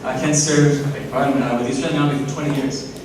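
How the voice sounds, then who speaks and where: A man's voice: medium pitch, level tone, very fast. A man with a Hong Kong accent hosting a conference, hesitant with many fillers and self-deprecating jokes. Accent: Hong Kong English. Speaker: conference host. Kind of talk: emcee introductions with jokes. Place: conference hall. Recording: echoey hall, distant mic, noise.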